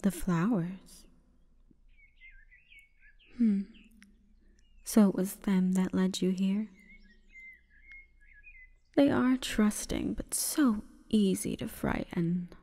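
A woman voicing an imperious, calm forest goddess in a breathy, whispering ASMR roleplay, with stately, measured delivery. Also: mid-range voice. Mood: softer, regretful. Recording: long reverberant echo.

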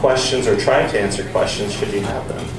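A man giving a public science talk and answering audience questions in a relaxed, explanatory way. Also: lowish voice, a little fast, a loud crowd. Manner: relaxed, explanatory, with some restarts. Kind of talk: lecture and audience Q&A. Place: bar or pub venue with an audience. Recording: echoey, distant, noisy room.

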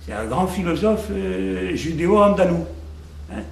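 A man's voice: sits high, lively intonation, unhurried, vocal fry, soft background music. An older man from Algeria, interviewed in a conversational way, reflective, repeating words as he searches for them. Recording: echoey room, faint background noise.

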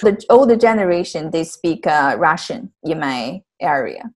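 A woman's voice: low voice, animated, normal speed. Young woman with a Mandarin Chinese accent, patiently explaining. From Northeast China. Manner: patient explaining, frequent fillers and soft laughs. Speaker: Chinese teacher. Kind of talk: casual language lesson on a video call. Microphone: video call, earphone mic.

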